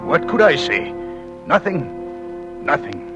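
Anguished romantic husband, wide-swinging dramatic voice. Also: male voice, a little high, big pitch swings, lo-fi sound, over loud music. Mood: brooding, fearful. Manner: halting, brooding, intense delivery with repeated words. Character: anguished immigrant husband, eloquent, romantic, desperately devoted. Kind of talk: old dramatic radio-play acting with first-person narration.

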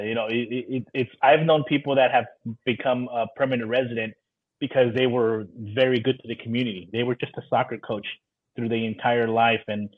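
A man's voice: mid-range voice, slightly rough. A man in his thirties speaking casually as a podcast guest, over dull, phone-like audio. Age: 30s. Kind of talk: casual conversation, giving encouraging advice.

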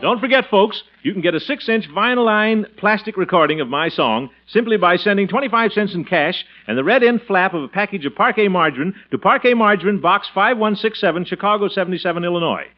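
A man's voice: sits high, expressive, brisk, few pauses. An actor playing a pompous, jovial, easily flustered middle-aged man in a blustery 1940s radio comedy style. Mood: folksy, cheerful. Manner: blustery, chuckling, theatrical delivery. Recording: muffled vintage radio recording with music.

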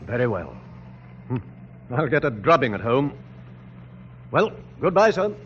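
A muffled old radio drama with faint noise, featuring a haughty, courtly male general. His speech is formal and urbane, with a lively rise and fall. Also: mid-range voice, a little slow. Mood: dismissive, urbane. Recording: dull, hissy old radio broadcast.

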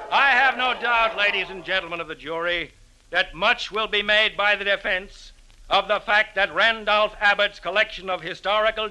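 An actor playing a forceful district attorney in an old radio drama, formal and declamatory as in court. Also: male voice, high-pitched, rough recording. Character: forceful male district attorney prosecuting a murder trial. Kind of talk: old-time radio acting, courtroom oratory.

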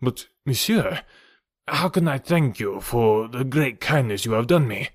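A man's voice: mid-range voice, expressive, measured pace, no room sound, studio quality. A man voicing a proud French count in an audiobook, formal and dramatic, indignant yet courtly. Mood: courteous, grateful. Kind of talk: audiobook character voice.

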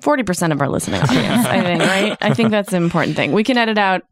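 A woman's voice: medium pitch, very animated, fast talker, dry recording. A woman co-hosting a casual comedy podcast, joking and rambling as she talks over the others. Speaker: podcast co-host. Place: Los Angeles.

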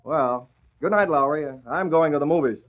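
An actor playing a brisk, confident newspaper boss who is secretly a crimefighter, in smooth 1940s radio style. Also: male voice, a little high, slight background noise, natural voice. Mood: feigned surprise. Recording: muffled vintage radio drama recording.

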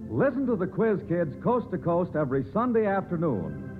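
American man, old radio ad announcer, really animated pitch, emphatic, phone-like vintage sound with music. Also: normal speed. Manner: emphatic, formal sales delivery. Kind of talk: 1950 radio advertising announcement. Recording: thin, rough vintage radio recording.

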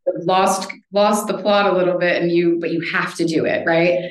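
An American woman in her thirties talks casually as a podcast guest. She keeps checking in with "right?" as she goes. Her voice sits low, and it carries a roomy echo. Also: steady tone, normal speed. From United States. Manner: chatty, often tags sentences with "right?". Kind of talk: casual conversation.

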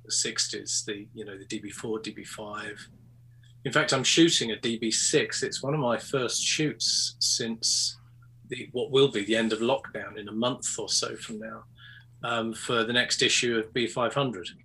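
A man's voice: lowish voice, steady tone, measured pace, bright, forceful tone, slightly rough, low-quality recording. A man in his sixties from England, a photographer chatting as a guest in an interview, hesitant with many ums and restarts, over a video call. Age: 60s. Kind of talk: casual interview chat.

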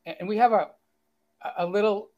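A man's voice: high-pitched, steady tone, normal speed, darkish tone, lo-fi sound. A man with a Boston accent, an author guest reading his own story aloud and chatting with good humor. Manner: good-humored, self-deprecating, with fillers. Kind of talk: reading aloud and casual interview chat.

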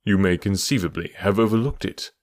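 An unflappable detective, as a male reader voices him, answers in a low, dry, unhurried tone. His voice sits low and plain. The recording is close with no room sound. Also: a little mellow, no room sound, close to an everyday voice. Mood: cool. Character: calm, cool male detective.

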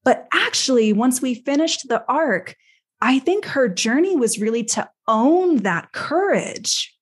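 A woman's voice: sits high, sing-song, unhurried, breathy edge. Emphatic woman podcast panelist. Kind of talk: podcast discussion of a TV show.

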